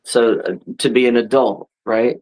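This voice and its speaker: A man's voice: medium pitch, fairly even intonation, unhurried, a little mellow, poor audio. A man in his thirties, a wrestler hosting a podcast, talking casually with frequent restarts and "right?" tags. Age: 30s. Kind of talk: casual chat.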